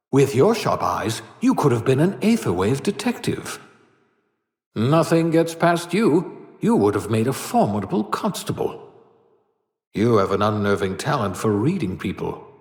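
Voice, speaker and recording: An actor playing an eccentric older male scientist in a video game, excitable, wry and teasing, with a theatrical, quaintly wordy delivery. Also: medium pitch. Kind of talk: video game character acting. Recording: echoey room with long reverb.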